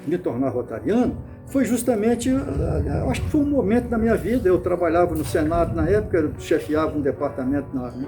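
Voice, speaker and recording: An older man from Bahia, Brazil, recalling his past in an interview, unhurried and often restarting or repeating words. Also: sits high, sing-song, gravelly, music in the background. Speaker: interviewee, club founder. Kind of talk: reminiscing interview.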